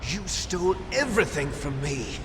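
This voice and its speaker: An actor playing a vengeful, obsessive archvillain in a video game, theatrical and deliberate in delivery. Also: male voice, fairly high pitch, sing-song, background noise. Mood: vengeful, gloating. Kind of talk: dramatic video game voice acting. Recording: loud music behind the voice.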